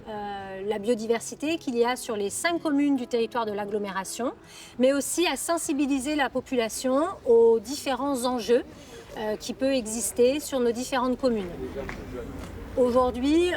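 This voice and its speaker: A woman working as a council project officer, explaining an outdoor environmental event with frequent hesitations and fillers. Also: fairly high pitch.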